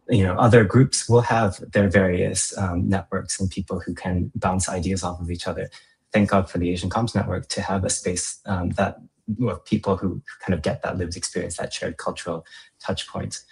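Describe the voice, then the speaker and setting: An American man speaking as a panelist, reflective with a wry edge. His voice sits low and has a gravelly fry running through it. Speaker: panelist, founder. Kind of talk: panel discussion.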